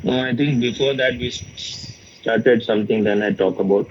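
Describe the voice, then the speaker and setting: A man giving an informal spiritual question-and-answer talk as a teacher, speaking in a halting, repetitive and bluntly opinionated way. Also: medium pitch, darkish tone, a little hiss or hum. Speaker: spiritual teacher in dialogue with a student. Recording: dull, lo-fi sound.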